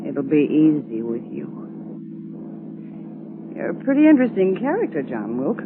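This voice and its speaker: A scheming, seductive female accomplice in a 1940s radio drama. She coaxes with lively, mobile intonation. The recording is narrow and clearly noisy. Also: low voice, normal speed. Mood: cool, flirtatious.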